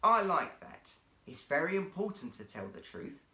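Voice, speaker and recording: A man voicing a kindly seal trainer at a zoo show in a children's story, with a hearty, deliberate announcer's delivery. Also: on the high side, very animated, sharp tone, reverberant. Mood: reassuring.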